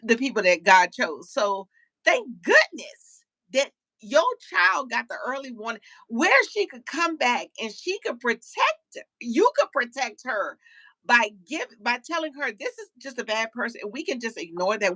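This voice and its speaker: A woman hosting a casual panel talk show, animated and preachy, often repeating words as she starts a sentence. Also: a little high, dry recording, low-quality recording.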